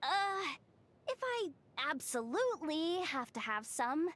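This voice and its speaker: Very high, expressive girlish young woman. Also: slow pace, exaggerated voice. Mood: reluctant. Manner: casual, expressive. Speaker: video game voice actress. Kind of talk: scripted game character voice lines.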